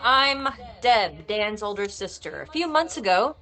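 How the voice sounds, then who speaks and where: A woman's voice: mid-range voice, big pitch swings, a little slow, bright, forceful tone, heavily acted. A woman playing a tough, blunt, foul-mouthed cop wounded by her past, in a film script read-through. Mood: determined. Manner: earnest, blunt, swearing.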